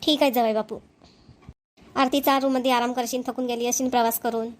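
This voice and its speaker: A woman's voice: a little high, little pitch movement, fairly quick, close to an everyday voice. A woman voicing a doting, grumbling older mother-in-law in a family cartoon, with a folksy Vidarbha Marathi accent. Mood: welcoming, fussing. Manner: complaining, folksy, repetitive. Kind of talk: family comedy cartoon dialogue.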